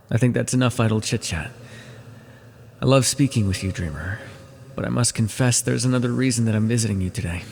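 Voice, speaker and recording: A man playing an ancient, possessive godlike villain in an intimate ASMR audio roleplay, patient and condescending, with menacing tenderness and a gravelly, husky voice. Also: medium pitch, darkish tone. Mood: uneasy, possessive. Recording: long reverberant echo.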